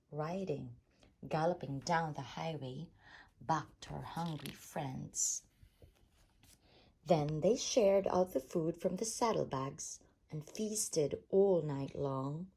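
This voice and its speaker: A woman reading a rhyming children's storybook aloud as an expressive storytime narrator. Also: sits low, gravelly, slightly rough. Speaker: storytime reader.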